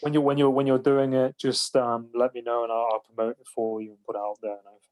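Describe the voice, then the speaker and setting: A man's voice: mid-range voice, flat delivery, fast talker, a little mellow. A man hosting a podcast, chatting casually and rambling with lots of fillers. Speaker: podcast host. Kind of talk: casual interview chat. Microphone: phone call.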